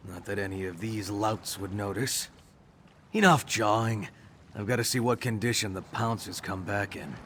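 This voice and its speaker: A man's voice: on the low side, normal speed, background noise, natural voice. A man voicing a brusque, grumbling, self-important ship's hand in a video game. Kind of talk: scripted video game dialogue.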